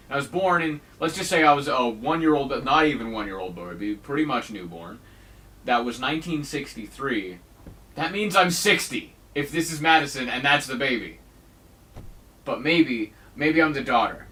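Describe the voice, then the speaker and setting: Animated, bright-voiced man joking through a game. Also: medium pitch, recorded from a distance. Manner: jokey, rambling, mock-dramatic outbursts. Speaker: gaming video host. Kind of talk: casual let's-play commentary.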